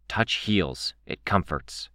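A man's voice: low-pitched, lively intonation, takes it slowly, pushed and bright, dry recording, studio quality, heavily acted. A man voicing a blunt, stern but caring woman dog trainer in an audiobook, terse and commanding. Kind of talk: audiobook character voice.

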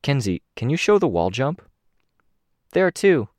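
A man reading an audiobook, voicing a composed young woman hero who speaks in a measured, respectful way. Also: mid-range voice, very animated, a little mellow, no room sound, clean studio sound, voice pushed up.

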